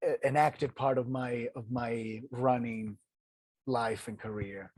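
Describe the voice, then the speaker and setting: A man's voice: mid-range voice, fairly even intonation, darkish tone, no room sound. Earnest Italian man, dry close sound. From Italy. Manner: earnest, halting, many restarts and fillers. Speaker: guest, ultrarunner. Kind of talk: casual interview storytelling.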